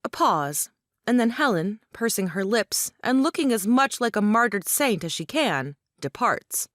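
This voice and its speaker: Scottish woman, lively wry narration, mid-pitched, scripted stage directions, close dry recording. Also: unhurried, dry recording.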